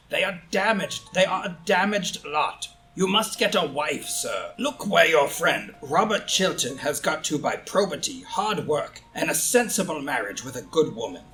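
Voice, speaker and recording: Man acting a blustering, high-pitched old lord. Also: pushed and bright. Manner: brusque, scolding, clipped. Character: crusty, blustering, bossy old lord and father. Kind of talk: stage comedy group play reading.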